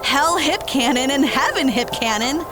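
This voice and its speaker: A woman's voice: sits high, lively intonation, normal speed, music in the background. A woman playing an upbeat, friendly and enthusiastic female fighter in an audio drama. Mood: excited.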